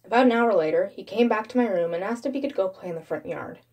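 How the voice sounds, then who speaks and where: A woman's voice: medium pitch, expressive, quick pace, a little mellow, husky. A woman narrating a first-person creepypasta story as its storyteller.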